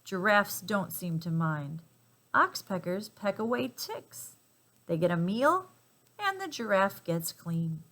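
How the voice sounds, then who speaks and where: A woman reading a children's picture book aloud in an expressive, emphatic and playful way for young listeners. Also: mid-range voice, slow pace. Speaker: storybook reader.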